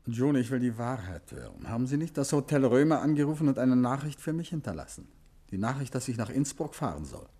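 An actor playing a calm, clever detective in a 1950s radio drama, coaxing and politely insistent. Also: male voice, mid-range voice, a little fast, breathy edge. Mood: probing. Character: calm clever male detective, gently probing.

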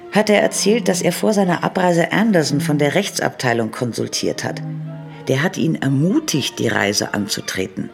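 A woman's voice: deep voice, vocal fry. Earnest, concerned female employee. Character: loyal, concerned female office employee confiding in her boss. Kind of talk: German radio drama acting.

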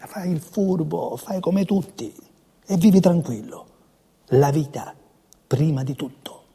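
A man playing a resigned, cautious friend with a husky, breathy voice, confiding cynical warnings in a stage drama. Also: on the high side, expressive, takes it slowly, warm tone, heavily acted. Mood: pleading, resigned. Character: resigned, cautious male friend urging compromise.

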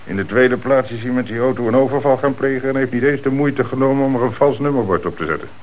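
An actor playing a brisk, authoritative police commissioner in a radio play, on a hissy old recording. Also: male voice, medium pitch, natural voice. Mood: methodical, decisive. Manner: authoritative, methodical.

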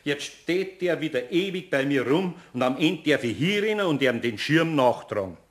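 Ein Schauspieler mit bayerischem Dialekt spielt in einem Hörspiel einen älteren, gutmütig brummelnden Handwerksmeister, nachsichtig und leicht genervt. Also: male voice, on the high side, lively intonation, moderate pace. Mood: grumbling, weary. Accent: Bavarian. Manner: homely, indulgent, mildly exasperated. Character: kindly, grumbling old master carpenter. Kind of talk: German radio play acting.

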